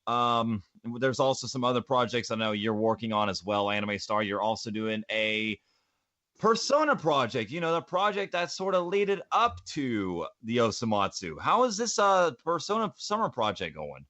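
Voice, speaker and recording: A man hosting a podcast in casual chat, rambling and repeating his phrases over a low-fidelity voice call. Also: sing-song, normal speed, bright, forceful tone, dry recording. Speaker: podcast host. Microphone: voice call mic, close.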